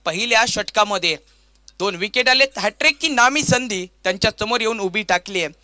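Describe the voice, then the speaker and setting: A Maharashtrian man calls cricket live in a high, piercingly bright and forceful voice. The sound is clean and crisp. His delivery is grandiose and dramatic, leaning on repeated stock phrases. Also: a little fast, no room sound, clean studio sound. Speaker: cricket commentator. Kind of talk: live play-by-play sports commentary.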